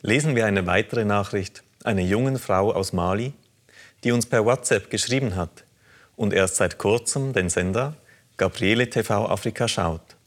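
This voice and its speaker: A man presenting a religious program, reading listener letters aloud in a measured, earnest way. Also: fairly low pitch. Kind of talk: calm scripted reading of letters.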